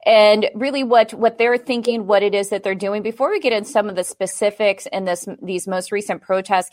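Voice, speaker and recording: A woman hosts a podcast in a smooth, polished manner. Her voice sits at a mid pitch and sounds dry and close to the mic, with no room around it. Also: no echo. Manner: polished, scripted introduction. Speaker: podcast host. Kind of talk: podcast interview hosting.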